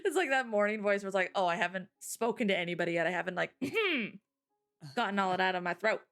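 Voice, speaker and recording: A woman hosting a live stream in casual chat, bubbly and quick to laugh, still waking up. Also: mid-range voice, lively intonation, fairly bright tone, soft background music. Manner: giggly, bubbly, laughs often. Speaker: streamer host.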